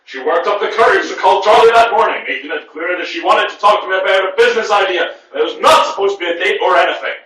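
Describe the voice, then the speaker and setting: A man reading prose aloud haltingly, breaking off for exasperated, self-correcting asides. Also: sits high, sharp tone. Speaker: reader at a writers' group reading. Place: echoey room with a group present. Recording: echoey room, distant from the mic.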